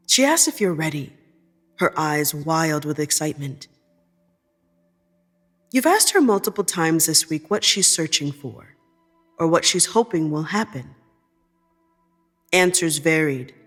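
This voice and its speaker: A woman narrating scripted second-person fiction in a measured, deliberate storytelling manner. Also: fairly low pitch.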